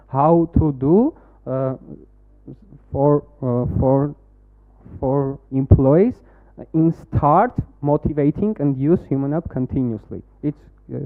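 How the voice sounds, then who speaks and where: A man with an Armenian accent, a native Armenian speaker, pitching a startup and then answering questions in halting English with many fillers. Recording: tinny phone-like sound.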